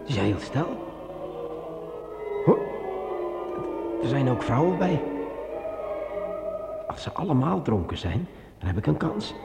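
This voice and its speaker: An actor playing a jittery swordsman in a radio drama, talking to himself in halting, repeated phrases. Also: mid-range voice, very animated, vocal fry, exaggerated voice. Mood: bold, keyed up. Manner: agitated self-talk, halting and repeating words. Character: jittery man with a sword alone in a dark cellar, muttering to himself. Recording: echoey, with loud music behind.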